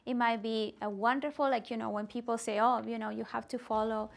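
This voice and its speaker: A woman's voice: on the high side, flat delivery, brisk, vocal fry. A middle-aged woman presenting at a teacher workshop, talking animatedly and with emphasis in a meeting room. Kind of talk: workshop discussion.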